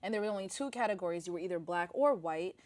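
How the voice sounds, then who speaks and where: A woman's voice: lowish voice, lively intonation, fast talker, darkish tone. A young woman co-hosting a casual talk show, reflective and a little searching as she talks. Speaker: podcast co-host. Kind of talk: casual discussion.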